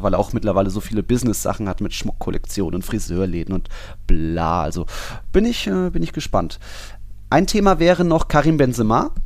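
A man's voice: on the low side, darkish tone, no echo. A man co-hosting a football podcast, talking casually and giving his opinions in an informal, conversational way.

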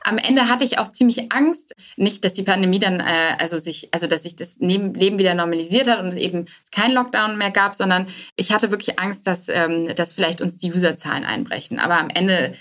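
A woman's voice: lowish voice, fairly quick, hardly any pauses, a bit bright. A woman speaking casually and candidly as a startup founder being interviewed, mixing English business words into her talk. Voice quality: English business loanwords mixed in.

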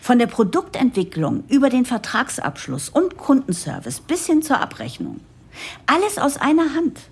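An actress playing a determined new managing director who narrates an audio drama, expressive, with a breathy, husky voice. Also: female voice, high voice, normal speed, full character voice. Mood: eager, persuasive. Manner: expressive, explanatory. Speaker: narrator and lead character. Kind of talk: German audio drama, first-person narration and dialogue.

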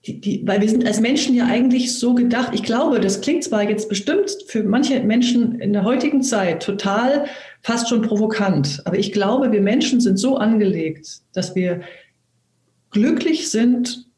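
A woman in her fifties from eastern Germany, an actress hosting a reflective conversation, explaining earnestly and slowly with many restarts. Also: fairly high pitch, mellow. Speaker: actress and talk host. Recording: roomy echo.

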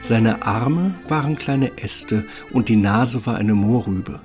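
A man's voice: lowish voice, slow pace, darkish tone, faint music behind. A man reading scripted fairy-tale narration as the storyteller of a radio play.

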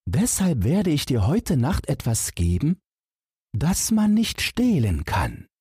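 A man's voice: a little high, huge pitch swings, slow, mellow, breathy edge, no echo, studio quality, pitched above the performer's own voice, heavily acted. A man voicing a haughty, commanding young noblewoman possessed by evil spirits in a fairy tale, with aloof, courtly phrasing. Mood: scornful, reproachful.

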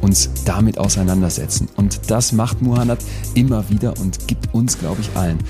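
A man's voice: lowish voice, normal speed. A man closing a podcast episode with earnest, emphatic reflection. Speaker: podcast host. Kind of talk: closing narration. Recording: music clearly underneath.

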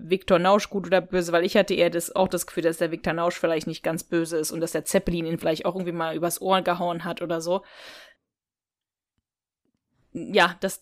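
A woman's voice: on the low side, dry recording, clean studio sound. A woman co-hosting a podcast, talking casually and colloquially as she thinks aloud about an audio drama. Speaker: podcast co-host.

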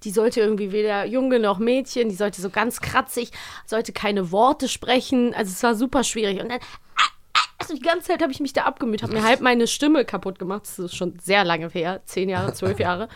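A woman chatting casually as a podcast co-host, telling things in a playful, animated way. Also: mid-range voice, moderate pace. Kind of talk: casual chat.

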